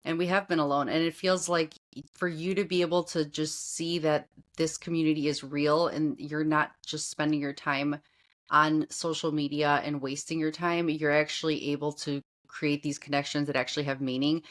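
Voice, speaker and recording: A woman with a notably low voice hosting a podcast conversation. She chats casually and gushes, piling on enthusiastic praise for her guest. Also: flat delivery, moderate pace. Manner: effusive and gushing. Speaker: podcast host. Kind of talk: casual interview chat.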